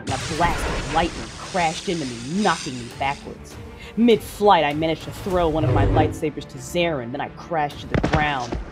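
A woman playing a determined saber-wielding warrior, narrating a duel in a measured, grim first person. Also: sits low, natural voice. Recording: music behind the voice.

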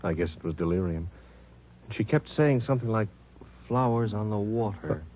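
A man playing a quiet, matter-of-fact hospital doctor with a husky voice in a 1950s radio drama. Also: on the low side, expressive, normal speed. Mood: musing, puzzled. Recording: dull, muffled old radio recording.

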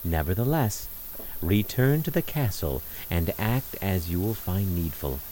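A man's voice: deep voice, lively intonation, measured pace, dark tone, a little hiss or hum, rough recording. A man voicing a gracious, commanding fairy lady in a storybook, with formal, stately and benevolent speech. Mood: pleased, instructing. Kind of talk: storybook character dialogue. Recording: music under the voice.

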